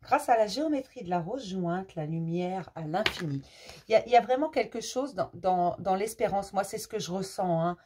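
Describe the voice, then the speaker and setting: A French woman with a cold, doing a casual tarot card reading for her viewers, gentle and hesitant, with many fillers and restarts. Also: medium pitch, animated, normal speed, slightly rough. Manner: affectionate toward viewers. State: has a cold.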